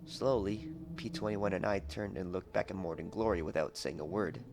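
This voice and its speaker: A man's voice: sits low, normal speed. A young man reading a story as dramatic scripted narration, recorded on an amateur headset mic with some noise. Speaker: audiobook narrator.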